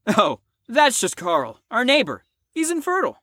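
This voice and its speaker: A man's voice: very high-pitched, huge pitch swings, normal speed, sharp tone, no room sound, studio quality, heavily acted. A man playing a cocky, crude fifteen-year-old boy in an audio drama, with a smug, slangy drawl.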